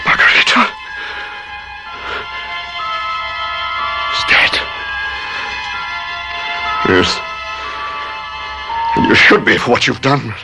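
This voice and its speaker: An actor playing a proud, jealous husband in a radio drama, melodramatic and anguished. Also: male voice, medium pitch, wildly swooping pitch, takes it slowly, vocal fry, music in the background, heavily acted, poor audio. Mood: dazed grief.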